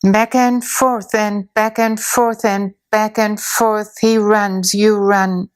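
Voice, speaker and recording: A woman narrating a guided imagery story in a slow, soothing way, with long pauses. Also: mid-range voice, little pitch movement.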